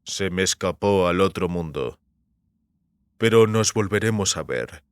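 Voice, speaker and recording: A man voicing a brutal, gloating bounty hunter in an audiobook, speaking slowly and menacingly through gritted teeth. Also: sits low, expressive, clear, resonant tone, no room sound, studio quality, voice pushed down. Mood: cruel boasting. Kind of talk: audiobook character acting.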